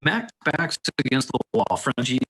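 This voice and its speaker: A man narrating stage directions at a film script table read, halting and sometimes stumbling over his words. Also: medium pitch, big pitch swings, sharp tone. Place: indoors on a remote internet call.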